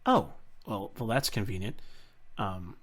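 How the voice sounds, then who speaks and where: A man playing an unsure, deferential hero in a fan-made sci-fi roleplay, halting and repeating his words. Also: fairly low pitch. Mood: hesitant, uneasy. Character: unsure, anxious, deferential hero serving a general.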